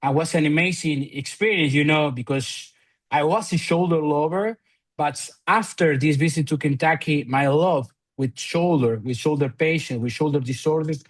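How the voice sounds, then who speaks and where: A man from Argentina speaking English with a thick Argentine Spanish accent. His voice sits a little high for a man. He talks in an unpolished way, with frequent "uh"s and restarted phrases. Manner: halting non-native English with frequent fillers and restarts. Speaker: physiotherapist and researcher guest. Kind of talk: conversational podcast interview.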